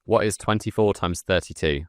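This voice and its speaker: A man with a very deep voice presents a screen-recorded tutorial. He explains things step by step in an informal manner, and the sound is close and very dry. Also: normal speed, smooth, no echo. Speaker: presenter of a software comparison video.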